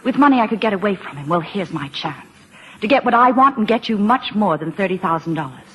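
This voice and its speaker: An actress playing an urgent, scheming, sophisticated kept woman in an old-time radio drama, polished and insistently persuasive, with a husky voice. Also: mid-range voice, animated, fairly quick, a bit bright, a little hiss or hum, natural voice. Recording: old radio recording with long reverberation.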